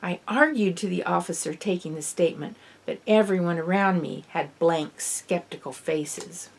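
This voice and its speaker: A woman reading expressive scripted narration from a novel as an audiobook. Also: on the low side, normal speed, creaky. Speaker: author reading own novel.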